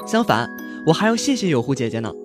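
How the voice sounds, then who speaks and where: A woman playing an eager, innocent and polite young boy in a fantasy audio drama, earnest and lively. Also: a bass voice, quick pace, over music.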